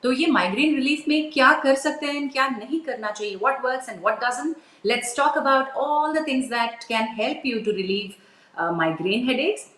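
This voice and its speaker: A woman's voice: high voice, racing, a bit bright, echoey room. A woman with an Indian accent hosting a live health show, switching between English and Hindi as she presents and interviews.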